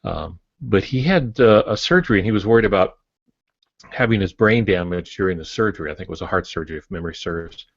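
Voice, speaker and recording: Low voiced guy cohosting a podcast, reflective and explainy, dry dull recording. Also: expressive, fast talker, creaky, no room sound. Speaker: podcast co-host discussing writing. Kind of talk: casual podcast discussion.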